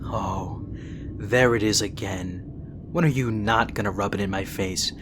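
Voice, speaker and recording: A man's voice: on the low side, unhurried, noisy background, natural voice. A man playing a principled, exasperated younger-brother vampire prince in an audio roleplay, speaking in an earnest, reproachful way. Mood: bitter, reproachful.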